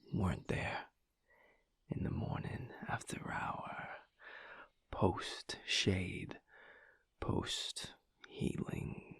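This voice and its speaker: Low, husky, breathy man, teasing acted by a man. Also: no room sound, full character voice. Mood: wry, hurt. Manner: slow, teasing, hesitant pauses. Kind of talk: intimate audio roleplay.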